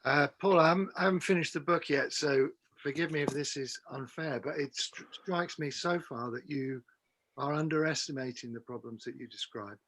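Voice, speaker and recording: A man's voice: on the high side, moderate pace, fairly bright tone, rough recording. A man putting a long, tentative question in a live discussion, often restarting words. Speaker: audience member asking a question.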